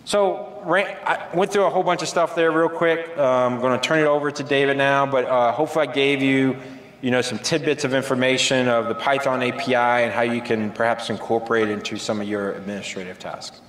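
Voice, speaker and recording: A man giving a relaxed, casual technical demo at a conference, with many ums and uhs. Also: medium pitch, fairly quick, reverberant, big-room sound. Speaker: conference presenter. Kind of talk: technical software demo talk. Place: large conference hall.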